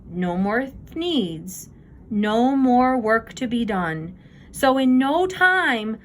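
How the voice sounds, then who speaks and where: A woman reading a children's story aloud with deliberate, dramatic phrasing. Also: mid-range voice. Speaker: storybook reader.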